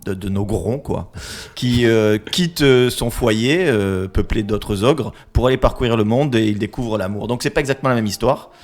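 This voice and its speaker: Man, low voice, podcast co-host, hesitant, lots of fillers. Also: moderate pace. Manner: hesitant, searches for words with many fillers. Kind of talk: casual podcast chat.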